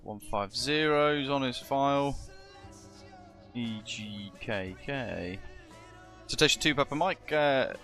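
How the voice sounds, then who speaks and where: Chesty British man, chatty and bright. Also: medium pitch, slow pace, pauses often, soft background music. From Britain. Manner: chatty, greets viewers as matey. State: chesty, slightly husky from a virus. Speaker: streamer acting as virtual air traffic controller. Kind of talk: live stream chat and radio phraseology.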